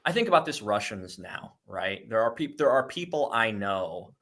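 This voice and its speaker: A middle-aged man from the United States, a guest talking in a relaxed interview, often restarting and repeating words. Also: on the low side, moderate pace. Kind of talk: conversational interview.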